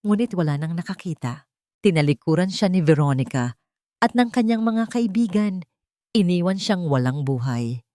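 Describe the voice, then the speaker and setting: A woman's voice: fairly low pitch, darkish tone, no room sound, studio quality. A woman narrating a scripted romantic drama story in a dramatic storytelling style.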